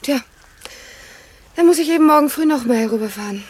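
An actress playing a young, forthright hotelier's daughter, casual and friendly in a radio crime drama. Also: female voice, sits high, animated, a little fast, a little mellow, slight background noise. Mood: matter-of-fact.

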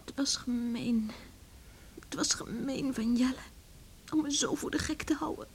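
A woman's voice: high voice, unhurried. An actress playing a headstrong, troubled young village woman in a radio play, theatrical and emotional. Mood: troubled brooding. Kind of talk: Dutch radio play acting. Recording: noisy old radio drama recording.

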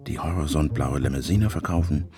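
An actor playing an anxious, straining athlete doubting his body in a gravelly radio-play monologue. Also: very deep voice, brisk, music in the background. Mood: worried, brooding.

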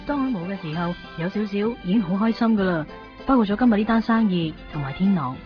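An actress playing a reserved, gentle young shop worker in a Cantonese radio drama, speaking in a hesitant, understated way. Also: female voice, mid-range voice, animated, fast talker, natural voice. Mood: reflective. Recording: muffled 1990s radio broadcast. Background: faint music.

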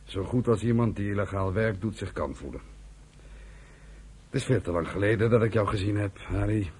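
An actor playing an embittered, fervent old uncle who leads an outlawed militia in a Dutch radio play, speaking in a rough, halting, emphatic way. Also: male voice, lowish voice, a little mellow. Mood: fond. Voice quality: husky, rough.

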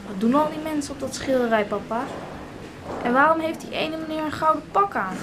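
A woman's voice: sits high, lively intonation, a little fast, noisy background. A woman playing a curious ten-year-old boy in a radio drama, asking childlike questions.

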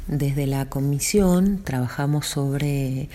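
Brief: woman from Salta, calm advocacy statement, dark mellow tone, pauses with fillers. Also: rumbling low, a little hiss or hum. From Salta, Argentina. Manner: measured, with hesitations and fillers. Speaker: arts advocacy commission member. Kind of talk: informal spoken statement.

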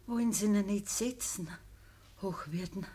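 A woman playing a dying, middle-aged peasant mother in a radio play, humble and sorrowful, speaking halting Bavarian dialect in a weak voice. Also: mid-range voice, warm tone. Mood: weary, resigned. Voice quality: husky, weak. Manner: halting, deferential.

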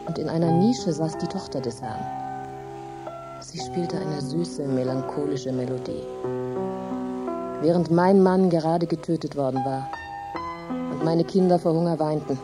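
A woman's voice: low-pitched, a little slow, pauses often, loud music behind the voice. An actress playing a grief-stricken, embittered poor farm widow, telling her story intensely and dramatically in a radio play. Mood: anguished, indignant. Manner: intense, dramatic, emphatic storytelling with hushed drops. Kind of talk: German radio drama monologue.

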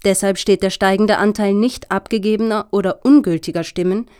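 An actress playing an earnest, officious lobbyist in a satirical radio play, talking in formal, deliberate, speech-like sentences. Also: female voice, mid-range voice, fairly even intonation, darkish tone, clean tone, lightly acted. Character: earnest, officious female lobbyist pitching for funding.

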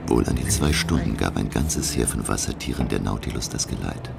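An actor playing a scholarly professor who narrates his undersea voyage in a gravelly voice, musing in literary language. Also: very deep voice, fairly quick, noisy background, full character voice. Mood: reflective. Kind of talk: German radio play narration. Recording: music under the voice. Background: music.

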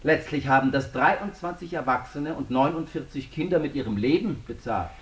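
An actor playing a stern, insistent courtroom examiner in a German radio drama, formal and probing. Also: male voice, on the high side, normal speed, reverberant, natural voice. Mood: stern, accusing.

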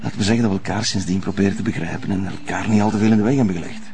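A man's voice: lowish voice, steady tone, rapid-fire, over music, close to an everyday voice. An actor playing an earnest, hesitant male inventor in a radio play, speaking with a Flemish accent. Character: earnest male inventor, touchy about being mocked.

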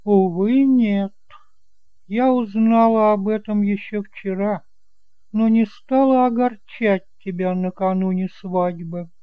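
A man's voice: high voice, takes it slowly, clear, resonant tone, no echo, narrow, tinny audio, pitched above the performer's own voice. A man voicing a firm, controlling older aunt in an audiobook drama, speaking emphatically. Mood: grave, firm. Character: stern, controlling middle-class aunt, older woman.

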